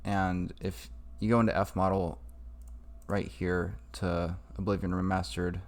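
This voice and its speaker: A man with a low voice walks through a casual computer tutorial. He takes his time, speaking slowly and informally as he improvises each step. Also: little pitch movement. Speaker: tutorial maker. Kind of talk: casual screen-recorded tutorial.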